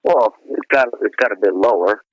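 A man's voice: lowish voice, moderate pace, no echo. A middle-aged male singer being interviewed, chatting casually and rambling with lots of "you know" fillers and restarts. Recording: dry, dull lo-fi radio sound.

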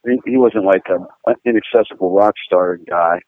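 A man from Pittsburgh, a guitar-playing guest, telling rambling, animated stories with lots of fillers. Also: sits low, normal speed, no echo. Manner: rambling, animated, fillers, laughs at own jokes. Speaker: guest musician, guitar player. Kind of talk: casual storytelling interview. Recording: tinny phone-line sound, dry.

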